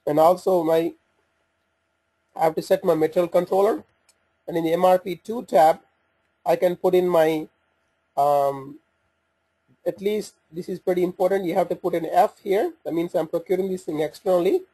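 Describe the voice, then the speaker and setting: Husky, mellow man demonstrating software. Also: high-pitched, steady tone, rough recording. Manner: halting, thinking aloud while operating the screen. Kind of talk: informal step-by-step software walkthrough. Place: voice-over for a screen recording.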